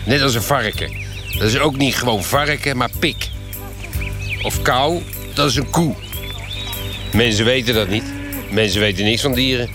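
A man's voice: medium pitch, moderate pace, long pauses, over music, close to an everyday voice. A man playing a self-important animal enthusiast who earnestly states the obvious, as in a mock radio documentary clip. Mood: earnest, know-it-all.

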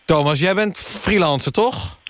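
An actor playing a dry, deadpan male presenter of a satirical radio news magazine. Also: sits high, unhurried. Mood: dry, exasperated.

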